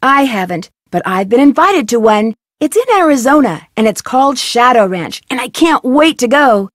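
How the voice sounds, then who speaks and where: A curious, upbeat young woman detective from a mystery game. She muses aloud in a chirpy, inquisitive way, her high voice bouncing around a lot. The sound is dry and close. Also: animated, normal speed, dry recording. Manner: chirpy, inquisitive self-talk. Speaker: game protagonist. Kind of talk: game voice lines.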